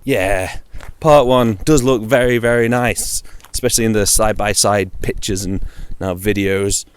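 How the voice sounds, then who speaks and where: A man's voice: fairly low pitch, normal speed, clean tone. A man with a British accent chatting informally on his own about games, in a dry, wry, jokey manner. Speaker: video game vlogger.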